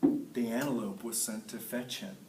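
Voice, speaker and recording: A man's voice: medium pitch, fairly even intonation, moderate pace, dark tone. A man teaching a class, reading a story aloud expressively and putting encouraging questions to the listeners. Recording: roomy classroom, distant mic, faint noise.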